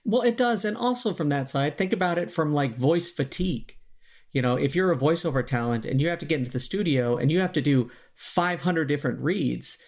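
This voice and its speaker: A man's voice: on the high side, lively intonation, fairly quick, darkish tone. A man speaking as an interview guest, explaining things in an earnest, conversational way. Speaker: podcast guest, synthetic voice company executive.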